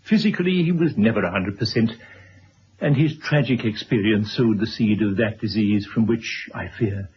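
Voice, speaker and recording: Courtly older gentleman, dull recording. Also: fairly low pitch, normal speed. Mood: sombre, regretful. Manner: formal, wordy, courteous, hesitant. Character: courtly, kindly older gentleman, grave and apologetic. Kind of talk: 1970s radio drama acting. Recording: dull old radio recording, clear noise.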